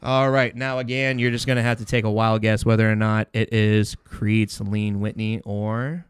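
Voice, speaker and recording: A man hosting a livestream game show in a studio with casual, slangy, excitable banter. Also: fairly low pitch, moderate pace, smooth, no room sound. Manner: animated, slangy, often shouting in excitement. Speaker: show host.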